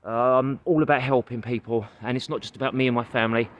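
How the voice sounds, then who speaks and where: A man's voice: mid-range voice, steady tone, fast, muffled recording. A man with a London accent talking casually to his camera while he walks, addressing viewers as guys, with lots of uh fillers and getting a little out of breath. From London, England. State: walking, gets out of breath. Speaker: vlogger and comedian. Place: outdoors on a busy city street. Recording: street noise and passing vehicles. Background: street traffic.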